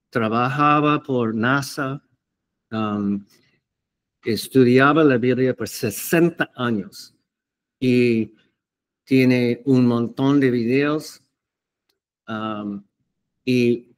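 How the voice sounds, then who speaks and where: Very slow, halting man teaching the Bible. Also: mid-range voice. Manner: hesitant, halting, many fillers and restarts. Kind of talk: unscripted Bible teaching to camera.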